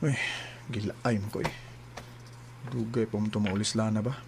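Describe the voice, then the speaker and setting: A man's voice: on the low side, fairly even intonation, moderate pace, creaky. A man acting a hurt, trusting young boyfriend in a radio drama, with a Cebuano Filipino accent and music behind him. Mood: sulky, weary.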